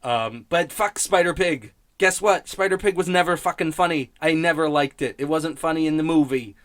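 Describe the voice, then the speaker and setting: Blunt, grumbling man with a higher-pitched voice. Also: normal speed, a bit bright, no room sound, studio quality. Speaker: host of a TV criticism show. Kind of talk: casual, rambling spoken review.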